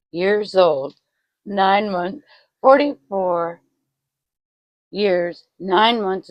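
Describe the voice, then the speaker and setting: A woman in her forties with a low, gravelly voice talks slowly in a dry, close recording. She chats to her viewers from home, poking fun at herself and swearing freely. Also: no room sound, highs cut off. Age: 40s. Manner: self-mocking, swearing, laughing at herself. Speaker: vlogger. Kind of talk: casual vlog chat.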